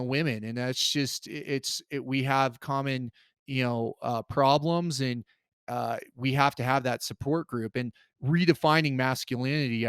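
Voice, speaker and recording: A man of middle pitch hosts an interview, speaking haltingly with many restarts and fillers. His voice is smooth and clear, recorded dry and close. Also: no room sound. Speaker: podcast host. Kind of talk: interview questions.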